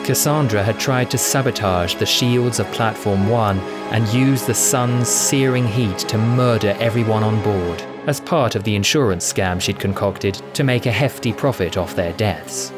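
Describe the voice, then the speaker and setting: A man's voice: fairly low pitch, moderate pace, hardly any pauses, over music. A man giving scripted, dramatic narration of a science fiction audio adventure.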